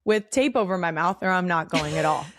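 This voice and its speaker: A woman's voice: on the low side, expressive, fairly bright tone, no echo, clean studio sound. Playful woman host in her 30s. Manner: playful, teasing, quick asides. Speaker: podcast host. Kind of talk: casual interview chat.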